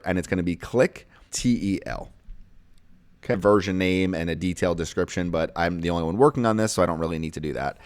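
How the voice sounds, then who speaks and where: A man's voice: deep voice, dry recording. A man hosting a casual screen-share tutorial, explaining each step in a plain-spoken, instructional way. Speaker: tutorial host and online course creator.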